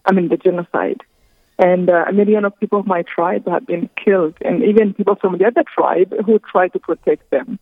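A woman from Rwanda, speaking slowly and earnestly as a guest on a phone interview, often pausing mid-thought. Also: on the low side, fairly even intonation, no echo. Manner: slow, earnest, halting with careful pauses. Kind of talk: phone interview, personal testimony. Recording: tinny phone line.

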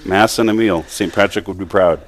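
A man's voice: sits low, brisk, crowd noise. An American man hosting on radio, chatting casually as he interviews. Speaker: radio host.

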